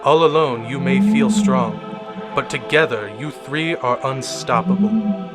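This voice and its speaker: A man's voice: fairly high pitch, sing-song, measured pace, music in the background. A man playing an ancient, commanding cosmic mentor in an audio drama, speaking formally and solemnly with impatience. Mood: grand, solemn.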